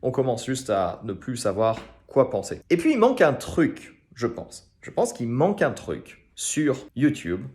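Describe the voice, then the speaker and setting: A man's voice: medium pitch, big pitch swings, measured pace, hardly any pauses, darkish tone. A man from France in his thirties, a French teacher talking frankly and informally to his channel's viewers, thinking aloud as he goes. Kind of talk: casual talk to camera.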